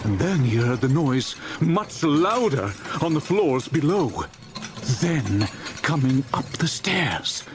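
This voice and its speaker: A male narrator tells a dramatized story with theatrical suspense. His fairly high voice rises and falls freely, and he lingers over phrases. Clear ambient noise runs behind him. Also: very animated, slow pace.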